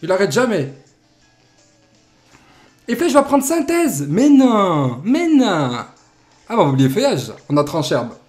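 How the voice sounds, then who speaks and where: Young male streamer, lively pitch, jokey, a bit congested. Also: a little slow, long pauses, faint music behind. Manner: playful, jokey, animated. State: has a cold. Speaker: Let's Play host. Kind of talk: casual gameplay commentary. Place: indoor room at desk.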